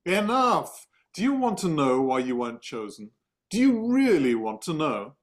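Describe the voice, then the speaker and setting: A man's voice: on the high side, very animated, normal speed. A man playing a stern, dismissive office boss with a curt, condescending manner in a dramatic table read.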